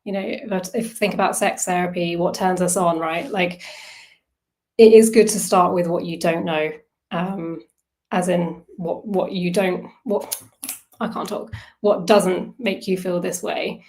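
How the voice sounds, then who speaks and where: A woman with a slightly low voice leads an informal online workshop. She speaks conversationally, thinking aloud as she goes and often turning to her listeners with questions. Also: fairly even intonation, unhurried, darkish tone. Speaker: workshop facilitator on self-soothing.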